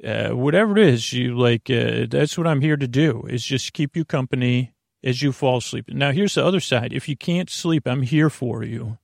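Creaky-voiced man rambling at bedtime. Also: mid-range voice, no room sound, clean studio sound. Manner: meandering and halting, trails off and restarts sentences. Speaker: podcast host. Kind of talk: rambling, off-topic bedtime monologue.

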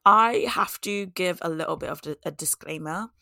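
Bright, scratchy-voiced woman podcast host. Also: lowish voice, normal speed, creaky. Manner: chatty, laughs at herself, repeats key points. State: hoarse from a cold. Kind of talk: casual solo podcast talk.

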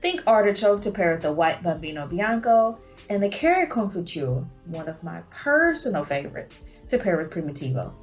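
A woman's voice: lowish voice, sing-song, moderate pace, few pauses, soft background music. A woman hosting a podcast, reading scripted narration with an upbeat delivery. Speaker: podcast host.

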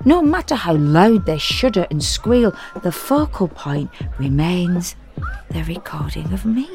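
A woman's voice: fairly low pitch, animated, unhurried, gravelly, darkish tone. An actress playing a sardonic, self-deprecating woman in an intimate, confiding first-person audio drama monologue. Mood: wry, confiding. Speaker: solo performer reading a dramatic monologue. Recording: music under the voice.

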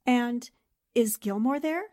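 An actress playing a spirited, indignant newspaper columnist in a theatrical 1940s radio-drama style. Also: female voice, on the high side, slow pace. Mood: anxious. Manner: expressive, theatrical. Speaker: actor in an audio drama.

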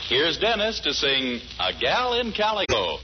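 Animated male 1940s radio announcer. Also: on the high side, unhurried. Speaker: announcer and narrator. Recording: muffled 1940s radio recording, loud music.

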